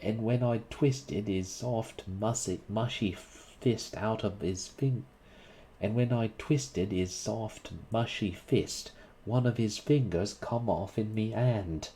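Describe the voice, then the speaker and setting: A man's voice: lowish voice, slow, dark tone, voice pushed up. A man voicing a blunt young Cockney servant who drops his aitches. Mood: uneasy. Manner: dropped aitches, rough working-class speech.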